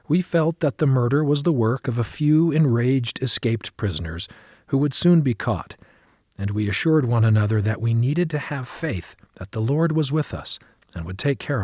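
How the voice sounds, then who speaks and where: A man reading a memoir as scripted audiobook narration, measured and clearly enunciated, recorded close in a studio. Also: medium pitch, a little mellow, dry recording.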